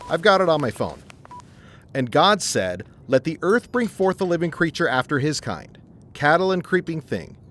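Lively earnest family dad played by an actor. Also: male voice, fairly high pitch, a little hiss or hum. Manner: explanatory, lively. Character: earnest, upbeat family father and writer. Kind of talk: family audio drama acting.

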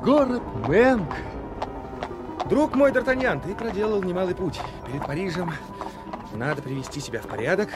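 A proud, exuberant young swordsman in a radio drama. His voice is fairly high for a man and theatrical, full of exclamation, with music playing clearly behind it. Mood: eager. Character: brash, exuberant young Gascon swordsman, wide-eyed and proud. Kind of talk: radio play acting.

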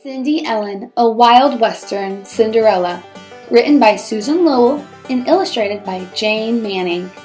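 A woman teacher reading a children's storybook aloud with expressive, dramatized storytelling. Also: medium pitch.

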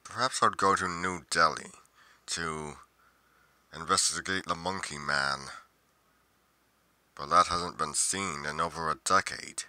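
A man playing a brooding, solitary monster investigator in a roleplay monologue, musing deliberately with pauses mid-sentence. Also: low-pitched, fairly even intonation, sharp tone, no echo, heavily acted. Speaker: roleplay performer.

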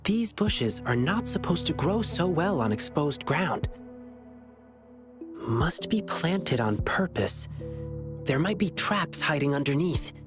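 An alert, earnest young game hero. The voice sits fairly low for a woman and moves around with lots of expression. Light music is behind it. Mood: hushed, cautious. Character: earnest, brave, alert young boy adventurer. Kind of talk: video game voice acting.

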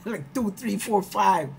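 A man's voice: high voice, slow pace, gravelly, soft background music. Chatty man on a headset video call. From Guyana. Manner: warm, chatty, laughs often, gets excited recounting stories. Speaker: musician hosting his album release stream. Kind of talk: casual chat on a video call. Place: small room at home.

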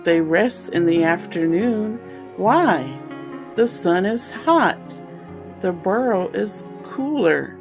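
A woman hosts a storytime read-aloud for young children at a slow, deliberate pace. Her pitch is mid-range and she explains things simply. Faint music plays behind her. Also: animated. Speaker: storytime host reading aloud.

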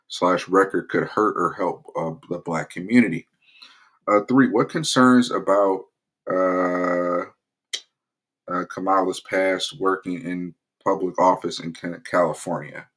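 A man hosting his own political commentary channel, talking loosely in a casual vlog promo with lots of fillers and restarts. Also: deep voice. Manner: relaxed, rambling with many fillers.

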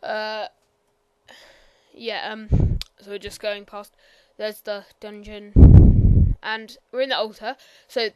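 A woman giving a casual, rambling game tour voiceover, hesitant and full of ums and apologies, sometimes bursting out loud. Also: mid-range voice, little pitch movement, slow, smooth, muffled recording. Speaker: gameplay commentator.

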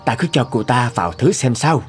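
A stately older nobleman from a cartoon folk tale , voiced by a man. He sounds authoritative and stern, with lively swings in his pitch and faint music behind. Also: fairly quick, slightly rough. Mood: pondering.

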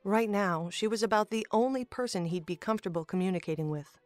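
A woman's voice: mid-range voice, quick pace, no echo. A woman reading scripted narration for a fantasy audio drama. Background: music.

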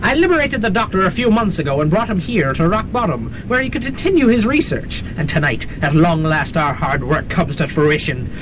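A man's voice: sing-song, a little fast, few pauses. A man playing a pompous, megalomaniac college president who gloats theatrically in a comic radio drama. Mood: gloating. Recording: noisy, rumbling early-1990s student radio recording.

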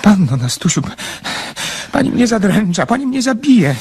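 An actor playing a shy, lovesick young dreamer in a radio play, speaking in a halting, earnest, tender way. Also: male voice, high voice, very animated, a little mellow, husky, full character voice. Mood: pleading, anguished. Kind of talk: Polish radio play acting.

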